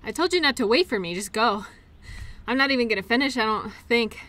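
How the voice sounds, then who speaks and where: A woman's voice: fairly high pitch, lively intonation, fairly quick, bright, forceful tone. A woman playing an affectionate, playful girlfriend in a roleplay audio, talking breezily as if to her partner. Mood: playful, tired. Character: tired, playful, caring girlfriend at a mud race. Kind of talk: intimate roleplay audio acting, one-sided conversation.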